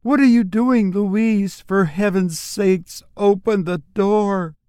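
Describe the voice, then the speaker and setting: A man's voice: high-pitched, slow, dark tone, clean tone, no room sound, studio quality, pitched above the performer's own voice. A man voicing an anxious woman who urgently implores her sister in a storybook reading. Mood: anxious pleading. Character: pleading anxious woman calling her sister through a door.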